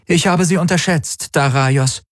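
A man's voice: on the high side, unhurried, no room sound, clean studio sound, pitched above the performer's own voice, close to an everyday voice. A man voicing a cold, controlled, aristocratic female fleet commander in an audiobook. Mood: cool. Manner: controlled, imperious.

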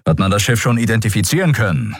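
A man's voice: on the low side, big pitch swings, fast talker, husky, no echo, clean studio sound, voice pushed up. A man voicing a commanding male admiral who questions tersely in a science fantasy audiobook. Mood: stern, questioning.

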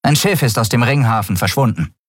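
A man voicing a predatory female alien first officer who gives crisp military reports in an audiobook. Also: mid-range voice, lively intonation, brisk, dry recording, studio quality, pitched above the performer's own voice. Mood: reporting.

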